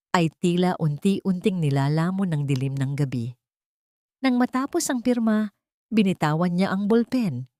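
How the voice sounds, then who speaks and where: A woman narrates a scripted drama audiobook. Her voice sits a little low for a woman. The delivery is story-like and dramatic, and the recording is very dry. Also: warm tone, dry recording.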